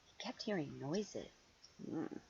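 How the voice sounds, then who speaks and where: Older woman, low voice, very animated storybook reading, playful asides, slightly muffled noisy home recording. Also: creaky. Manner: expressive, playful, drawn-out emphasis on key words. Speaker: grandmother reading stories to children. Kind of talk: storybook read-aloud with chatty asides.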